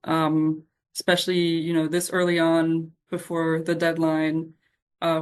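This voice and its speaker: Even-toned woman answering questions haltingly. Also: deep voice. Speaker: program staff member answering webinar questions. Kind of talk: informal question-and-answer presentation.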